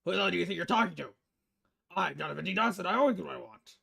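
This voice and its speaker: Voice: medium pitch, lively intonation, very fast, vocal fry, a crowd in the background. A streamer voicing a blunt, pompous, middle-aged male newspaper editor in a visual novel game. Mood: boastful.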